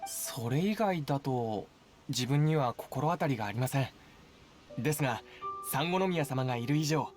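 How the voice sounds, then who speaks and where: A man playing a courteous, reassuring soldier in a game dub. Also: a little high. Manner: courteous, formal. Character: polite, reassuring male soldier.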